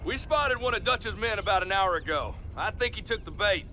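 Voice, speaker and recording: A man playing an army captain giving a brisk, matter-of-fact report. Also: high-pitched, lively intonation, very noisy.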